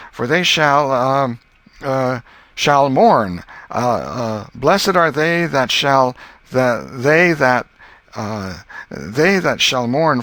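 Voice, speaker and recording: A man's voice: mid-range voice, bright, forceful tone, no echo, pitched above the performer's own voice, heavily acted. A man voicing a flustered boy who stumbles and stalls through memorized verses, then pleads in a whiny tone. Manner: halting, hesitant, whiny pleading.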